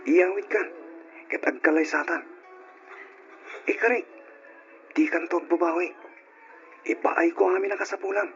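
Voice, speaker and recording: An actor playing an insistent, doting suitor and husband in an old radio drama. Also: male voice, high voice, moderate pace, long pauses, fairly bright tone, soft background music. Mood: persuasive. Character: doting, insistent bar customer turned eager husband. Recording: dull lo-fi old radio recording.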